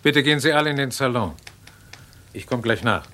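A calm amateur detective in a radio crime drama. His mid-range voice is polite and methodical. The recording carries faint noise and clicks. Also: mid-range voice, brisk. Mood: controlled.

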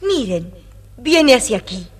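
A woman's voice: fairly high pitch, sing-song, unhurried, slightly rough, heavily acted. A woman playing an admiring, stately swan in an audio storybook. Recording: long reverberant hall sound.

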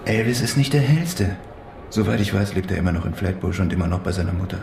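Deep, fast-talking sceptical accomplice. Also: male voice, animated, gravelly, noisy background. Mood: matter-of-fact. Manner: dry, cautionary, unruffled. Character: sceptical, matter-of-fact male accomplice of a thief. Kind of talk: German radio crime drama acting.

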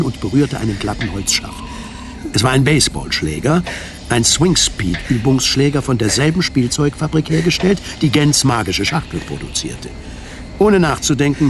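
A man narrating a scripted radio drama in a measured, precise storytelling manner. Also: medium pitch, background noise. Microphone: studio microphone. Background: music.

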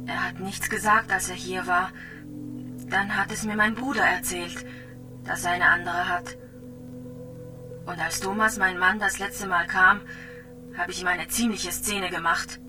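An actress playing a gravely ill young mother in a radio drama, resigned, wounded and plainly confiding. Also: medium pitch, lightly acted. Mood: resigned, wistful. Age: young adult. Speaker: hospital patient. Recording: distant from the mic, music behind.

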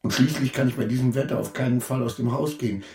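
An actor playing an anxious, self-pitying clerk who mutters agitatedly to himself in a radio play, swinging between dread and defiance. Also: male voice, mid-range voice, fast talker, darkish tone, close to an everyday voice. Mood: sullen, self-pitying. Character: anxious, self-pitying male clerk, brooding over his double.

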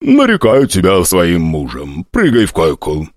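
A man's voice: low-pitched, wildly swooping pitch, a little slow, dry recording, studio quality, heavily acted. A man voicing a crude, grubby woman who drawls and grumbles as she talks. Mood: coarse drawl.

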